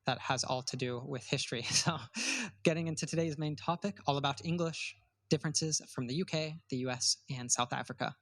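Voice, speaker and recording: A man's voice: medium pitch, a bit bright, no room sound. Upbeat man hosting a podcast. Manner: upbeat, enthusiastic. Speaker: podcast host. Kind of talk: podcast hosting and promo read.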